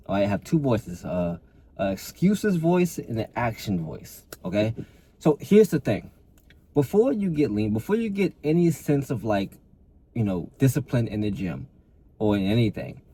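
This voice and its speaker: Low mellow-voiced man coaching fitness. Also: a little hiss or hum. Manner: repeats and restarts words, earnest motivational push. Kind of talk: casual talk to camera.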